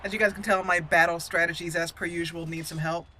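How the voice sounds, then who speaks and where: A woman hosting a roleplay game commentary, excitable and exclaiming, reading some lines in character. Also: lowish voice, fast talker, slight background noise. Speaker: gaming channel host.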